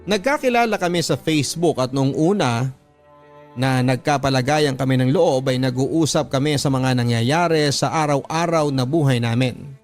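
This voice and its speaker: A man hosting a radio story show, reading listener letters aloud in an earnest, measured way. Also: mid-range voice. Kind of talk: scripted narration of letters. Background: faint background music.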